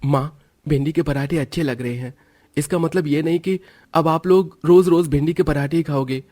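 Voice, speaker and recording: Irritable young husband acted by a man, raised pitch. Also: normal speed, warm tone, no room sound, close to an everyday voice. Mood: annoyed, planning. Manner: argumentative, exasperated. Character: irritable, worrying young village husband and son. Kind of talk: Hindi cartoon story dialogue.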